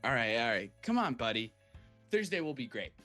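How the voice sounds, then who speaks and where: A man's voice: medium pitch, sing-song, fairly quick, bright, forceful tone, faint music behind. A man playing a savvy, blunt young boy, a jaded little brother, in a live comedic table read. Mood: upbeat.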